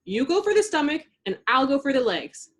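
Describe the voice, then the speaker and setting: A woman's voice: high voice, very animated, fairly quick, a bit bright, voice pushed up. A woman voicing a decisive, commanding little girl superhero from a children's storybook, urgent and emphatic.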